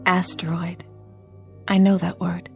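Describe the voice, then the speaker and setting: An actress playing a gentle, naive female humanoid robot, speaking politely and earnestly in a science fiction audio drama. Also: lowish voice, little pitch movement, slow, creaky, soft background music. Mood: curious. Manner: polite, earnest, inquisitive, simply phrased.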